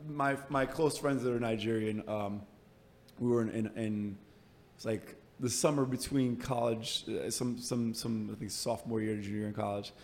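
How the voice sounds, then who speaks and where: A man's voice: on the low side, steady tone, normal speed, vocal fry, darkish tone. An American man hosting a podcast, chatting casually and teasing his guest in a playful, informal way. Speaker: podcast host interviewing a guest. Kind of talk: casual, bantering interview chat.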